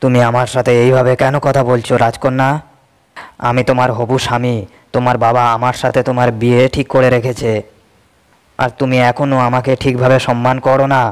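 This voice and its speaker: An actor playing an arrogant, threatening army commander in a cartoon, talking in a smug and menacing way. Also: male voice, medium pitch, monotone, fairly quick, clear, resonant tone, lightly acted. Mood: indignant. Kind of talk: cartoon voice acting.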